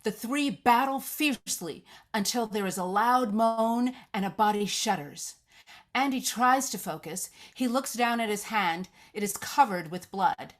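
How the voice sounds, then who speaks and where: A woman's voice: fairly high pitch, unhurried. A woman narrating the action of a screenplay at a live read, descriptive, occasionally stumbling over the text. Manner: descriptive, reading aloud with stumbles. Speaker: stage-direction narrator.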